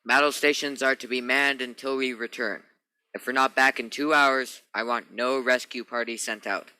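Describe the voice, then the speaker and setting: An actor playing a firm spaceship captain in a science fiction radio play, giving orders with authority. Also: male voice, mid-range voice, steady tone, moderate pace, sharp tone, clean tone, no echo, rough recording. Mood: resolute.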